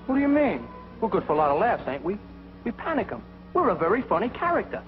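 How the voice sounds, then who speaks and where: An actor playing a cocky, wisecracking, mischief-making bungling pilot in a 1940s comic training film, boastful and slangy. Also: male voice, high-pitched, big pitch swings, quick pace. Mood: smug. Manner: boastful, slangy wise guy. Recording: muffled 1940s film soundtrack with music.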